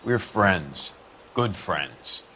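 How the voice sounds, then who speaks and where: A tough 1940s fellow, low-voiced and guarded. He talks curtly and streetwise in crime-game style, with faint ambient noise behind him. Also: animated, drawn-out words, sharp tone, exaggerated voice, low-quality recording. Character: tough, guarded 1940s man, widow's protective lover. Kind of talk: naturalistic period crime drama acting.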